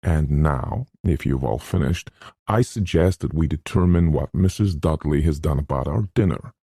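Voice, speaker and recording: A man's voice: very deep voice, moderate pace, mellow, breathy edge, no echo, studio quality, full character voice. A man voicing a genial, complacent older scholar with a precise, professorial manner. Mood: brisk, organising. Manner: precise, pleased with himself, professorial.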